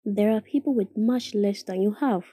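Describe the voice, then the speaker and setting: A woman acts a firm, indignant young girlfriend in a radio play. Her voice is mellow and husky. The sound is dry and close, with a cool, reproving delivery. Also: medium pitch, normal speed, dry recording, close to an everyday voice. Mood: indignant, earnest.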